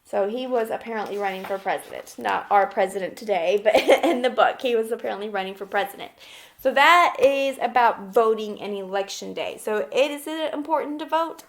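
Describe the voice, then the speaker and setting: A woman with a slightly high voice speaks as an elementary school teacher. She reads aloud for young children and explains in simple, instructional terms, with frequent little check-ins. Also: brisk. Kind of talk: children's read-aloud lesson with questions.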